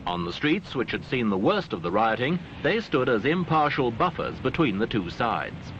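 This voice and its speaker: A man reading scripted documentary newsreel narration in a formal, measured way with dramatic phrasing. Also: medium pitch, sing-song, muffled recording. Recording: noisy, band-limited archival newsreel soundtrack.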